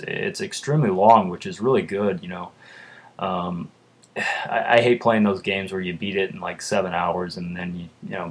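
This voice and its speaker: A young man with a deep voice, chatting casually in a vlog and rambling with lots of fillers. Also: husky. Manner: rambling, lots of uhs and ums. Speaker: vlogger. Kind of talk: casual chat.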